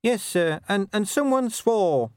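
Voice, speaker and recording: A man's voice: high voice, lively intonation, takes it slowly, clear, resonant tone, dry recording, clean studio sound, pitched above the performer's own voice. A man voicing a nervous, cautious young constable who answers in an anxious, stammering, overly dutiful way. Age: young adult.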